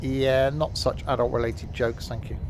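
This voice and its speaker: A man's voice: medium pitch, flat delivery, normal speed, background noise. A man, chatting casually and rambling with fillers while live-streaming a game.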